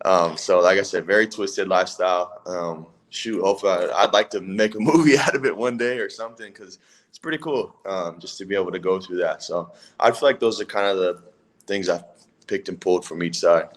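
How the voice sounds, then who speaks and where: A young man from California, a football player talking as a guest in a casual interview, relaxed and rambling with lots of fillers. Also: sits low, brisk. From Palo Alto area, California. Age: 20s. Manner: relaxed, rambling, many fillers and "if that makes sense".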